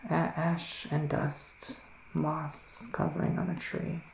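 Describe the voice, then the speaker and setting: Casting: a man to play a solemn psychic witch for ASMR, unhurried and soft-spoken, breathy pauses, recorded in a roomy space with faint noise. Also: a little high, little pitch movement. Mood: grave, soothing. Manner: slow, deliberate, breathy pauses with sighs. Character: solemn psychic male witch giving a reading. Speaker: ASMR performer. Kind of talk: ASMR roleplay, soft-spoken and half-whispered.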